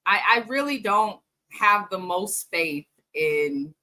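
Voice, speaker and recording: A woman's voice: medium pitch, expressive, slow pace, bright, forceful tone. A woman hosting a casual, frank reality TV recap, chatting straight to her viewers and sniffly from allergies. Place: home in the Bay Area.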